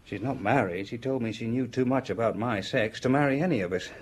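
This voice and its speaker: An actor playing a frank, flustered provincial French police inspector in a radio drama, deferential and hesitant. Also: male voice, mid-range voice, a little fast, husky, close to an everyday voice. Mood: confiding, awkward.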